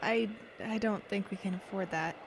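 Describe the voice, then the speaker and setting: An actress playing a weary, gentle young mother in an audio drama, tender and hesitant. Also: mid-range voice, normal speed, slight background noise, lightly acted. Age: young adult. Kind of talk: audio drama character acting.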